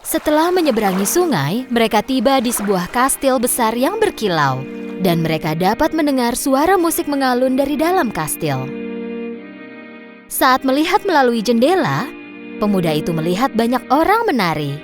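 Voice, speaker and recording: A woman narrating a children's fairy tale in a lilting, expressive storybook manner. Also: fairly high pitch, normal speed. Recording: clear music bed underneath.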